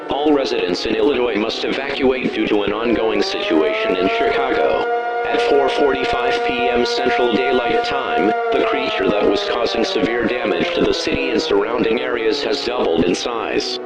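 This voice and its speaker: A man's voice: medium pitch, moderate pace, loud music behind the voice, poor audio. A man's synthetic-sounding voice reading a scripted emergency broadcast announcement in a formal, impersonal manner.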